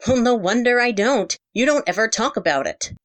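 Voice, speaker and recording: A woman's voice: on the high side, lively intonation, normal speed, no echo, pitched above the performer's own voice, close to an everyday voice. A woman voicing a hurt, defiant teenager in an audio story, emphatic and accusing as they confront a parent. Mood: angry, hurt. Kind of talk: heated character dialogue in a narrated audio story.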